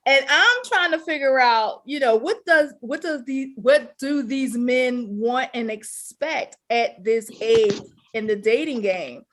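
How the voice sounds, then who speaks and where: Woman in her fifties hosting, higher-pitched. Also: slow pace, fairly bright tone. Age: 50s. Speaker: radio show host. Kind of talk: casual relationship talk show hosting.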